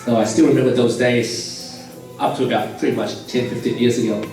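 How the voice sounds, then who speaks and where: A man from Malaysia in his forties, a surgeon telling his life story to a church youth group in a relaxed, plain way with many "um"s. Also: medium pitch, fairly quick. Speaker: surgeon giving a testimony. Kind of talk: personal testimony talk to youth. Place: church hall. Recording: very echoey hall, voice from far off, faint music.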